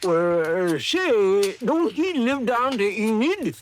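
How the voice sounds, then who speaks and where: An actor playing a folksy, loyal country character with a hesitant, homespun rural Southwestern American drawl in old radio drama. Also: male voice, high voice, very animated, takes it slowly, exaggerated voice. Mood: folksy, helpful. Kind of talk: 1950s radio western-flavored acting. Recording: faintly noisy vintage radio recording.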